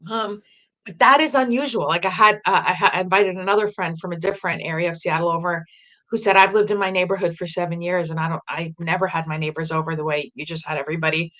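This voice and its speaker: A woman raised in New Jersey, talking in an animated, emphatic way in casual conversation. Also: lowish voice, quick pace, poor audio.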